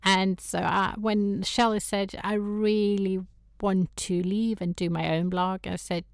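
A woman's voice: medium pitch, little pitch movement, slow, creaky, clear, resonant tone, no room sound, clean studio sound. A woman from Sweden with a Swedish accent, a guest in a casual interview who talks with hesitant restarts and frequent laughter. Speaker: guest, toy photographer.

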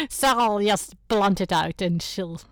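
A woman's voice: medium pitch, sing-song, takes it slowly, no echo, clean studio sound. A woman from Sweden with a Swedish accent, a guest in a casual interview who talks with hesitant restarts and frequent laughter. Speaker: guest, toy photographer.

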